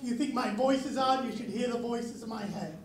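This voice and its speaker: Very high, raspy-voiced young comedian. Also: male voice, a little fast, background noise. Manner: self-mocking deadpan delivery. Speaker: stand-up comedian. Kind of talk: stand-up comedy set. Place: comedy club stage. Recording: echoey club with crowd. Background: audience laughter.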